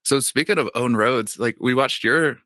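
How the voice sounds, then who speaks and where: A man's voice: medium pitch, moderate pace, bright, forceful tone, no room sound, studio quality. A man co-hosting a podcast in casual chat, rambling with lots of fillers and restarts. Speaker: podcast co-host.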